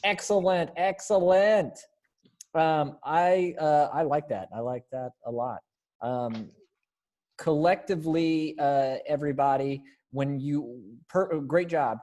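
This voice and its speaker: A man directing and narrating an amateur readers theater practice in a playful, improvised, halting way. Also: a little high, darkish tone. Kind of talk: informal hammy announcing and coaching.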